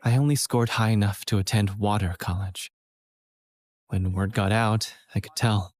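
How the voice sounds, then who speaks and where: An actor playing an earnest, self-doubting young college student who narrates his own story in a scripted animated game film. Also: on the low side, normal speed, no echo, clean studio sound, natural voice. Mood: reflective, downcast. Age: young adult. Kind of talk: scripted first-person narration.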